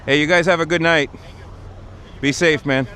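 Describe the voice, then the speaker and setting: A man's voice: on the high side, steady tone, moderate pace, pushed and bright. A man hosting a casual livestream outdoors on a city street, explaining things in a conversational way. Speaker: livestream host. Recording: outdoor street noise, passing vehicles. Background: traffic and urban ambience.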